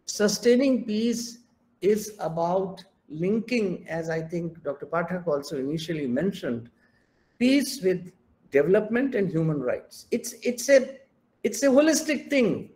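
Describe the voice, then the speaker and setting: An older Indian professor delivers a keynote lecture online, his English carrying an Indian accent and shading into his native Hindi. His voice sits high for a man, and he presses his points home with emphatic repetition. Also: animated, measured pace, darkish tone, low-quality recording. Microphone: online video call.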